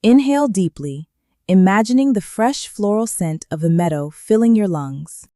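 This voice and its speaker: A woman leading a guided meditation in a calm, gentle way, with slow soothing instructions and long pauses. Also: mid-range voice, dry recording, studio quality.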